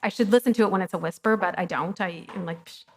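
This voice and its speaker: A woman's voice: mid-range voice, fast talker, slight background noise. A woman guest at a coworking fireside chat, talking candidly and casually about her career, with plenty of ums. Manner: chatty and candid, with frequent ums. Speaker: guest, company co-founder. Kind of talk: casual conversational interview. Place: coworking studio, fireside chat before an audience.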